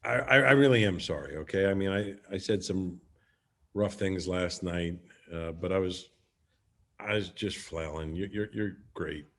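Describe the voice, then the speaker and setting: A sheepish sitcom husband in a fairly low voice. He stammers and backtracks, evasive and a little childish, yet affectionate. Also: fairly bright tone. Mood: apologetic. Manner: stammering, halting, hedging. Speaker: sitcom actor at a cast reunion table read. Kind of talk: live comedic script read.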